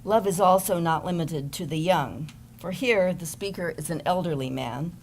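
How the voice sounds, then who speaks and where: Female narrator, slightly low pitch, scripted stage introduction, faint background noise. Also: normal speed.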